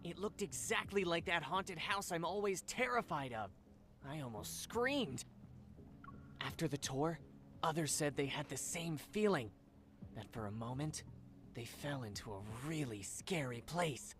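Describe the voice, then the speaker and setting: An actor playing an enthusiastic, dramatic young male student in a video game, excitable in manner. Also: a little high, a little slow, a little hiss or hum. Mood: shaken, dramatic.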